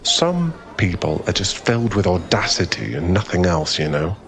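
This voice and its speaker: A man's voice: low-pitched, very animated, normal speed, a bit bright, exaggerated voice. A man playing a friendly, easily flustered young guy in a conversational ASMR boyfriend roleplay, with a hesitant, rambling, self-effacing way of talking. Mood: exasperated.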